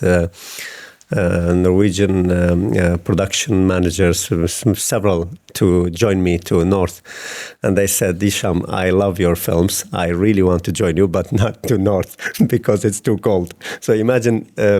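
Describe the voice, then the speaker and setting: Low, dark-voiced hesitant man. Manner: hesitant, many fillers and restarts. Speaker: film director. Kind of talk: interview answers.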